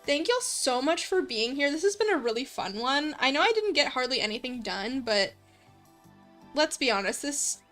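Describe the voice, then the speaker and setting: A woman with a high, bright voice chats casually to her livestream viewers. Her delivery is giggly, gushing and full of slang, with music playing softly behind her. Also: big pitch swings, normal speed. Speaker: livestreamer, host. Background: light background music.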